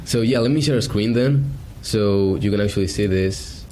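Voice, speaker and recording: A man from Argentina pitching agency services on a video call, hesitant and full of fillers. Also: moderate pace, warm tone, a little hiss or hum. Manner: hesitant, filler-heavy, casual. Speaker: beginner agency salesman.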